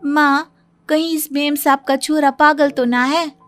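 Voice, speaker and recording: A woman's voice: sits high, steady tone, a little slow, fairly bright tone, faint music behind. A woman voicing an incredulous, nosy woman in a cartoon melodrama, questioning and indignant. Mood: doubtful. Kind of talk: Hindi animated story voice acting.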